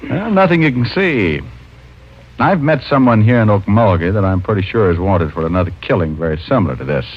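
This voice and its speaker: Lowish-voiced wry private eye. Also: male voice, expressive, brisk. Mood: brisk, probing. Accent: American. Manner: wry, self-mocking. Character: wry, wisecracking big-city male private detective. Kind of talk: 1940s-50s radio detective acting and narration. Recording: dull old radio recording with music.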